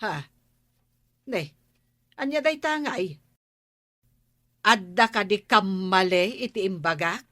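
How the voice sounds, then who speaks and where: An actress playing a chatty, inquisitive village woman in a radio comedy drama, with an animated, prodding manner. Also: mid-range voice, slow pace, pauses often, dry recording, clean studio sound. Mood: curious, insistent.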